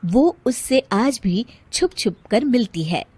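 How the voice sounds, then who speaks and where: A woman narrates a cartoon tale with expressive, animated intonation. The delivery is slow and deliberate, and the sound is dry and studio-close. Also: fairly high pitch, slightly rough, no room sound. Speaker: story narrator. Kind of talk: scripted cartoon story narration.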